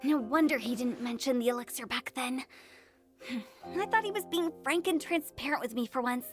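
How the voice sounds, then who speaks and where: Woman playing a high-voiced, wry young funeral director. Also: fairly quick, soft background music, close to an everyday voice. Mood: exasperated. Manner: theatrical, sardonic, breezy. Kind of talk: anime video game voice acting.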